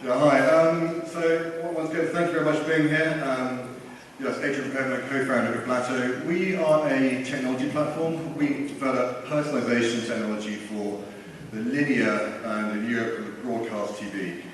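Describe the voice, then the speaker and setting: A man's voice: medium pitch, steady tone, moderate pace. A man speaking on a conference panel, hesitant and leaning on filler phrases. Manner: hesitant, leans on "kind of" and "you know". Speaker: panelist, tech co-founder. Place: large conference hall. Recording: echoey hall from far off, faint noise.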